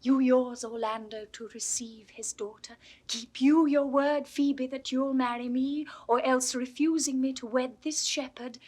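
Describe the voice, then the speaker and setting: A woman's voice: fairly high pitch, a little mellow, slightly rough. A British actress playing a spirited, commanding young woman disguised as a boy in a Shakespeare audio drama, speaking emphatically. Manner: emphatic, declamatory.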